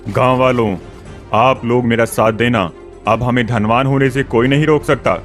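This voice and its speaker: A man's voice: medium pitch, steady tone, normal speed, faint music behind, close to an everyday voice. A man voicing a greedy, scheming sorcerer in a cartoon, commanding and eager. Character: greedy male occult sorcerer rallying villagers. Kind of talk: Hindi cartoon character voice.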